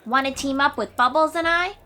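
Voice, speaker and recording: A woman's voice: high-pitched, a little fast, pushed and bright. A woman playing a confident, eager young sea-dweller warrior in a fantasy audio roleplay, speaking expressively and informally to the listener. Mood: earnest, determined. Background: music.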